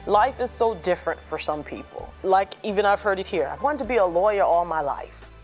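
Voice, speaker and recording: A woman's voice: mid-range voice, expressive, a little fast, soft background music. A woman, an incoming law student with children, speaking earnestly in an interview.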